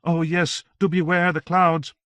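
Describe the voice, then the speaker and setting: A tiny, zealous young maiden who speaks of holy battles in high, ringing tones, as a male narrator puts her on. The delivery is fervent and declaiming. The sound is dry and close. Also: little pitch movement, measured pace, a bit bright, dry recording. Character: Joan of Arc as a tiny zealous young woman. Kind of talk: audiobook character voice.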